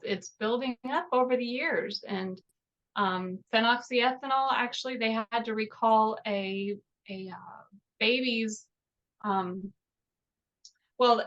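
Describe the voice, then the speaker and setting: A woman in her mid-forties explaining things as an interview guest, often pausing to find her words. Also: mid-range voice, fairly bright tone, dull audio. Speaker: podcast guest, natural skincare product maker. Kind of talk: interview talk explaining products.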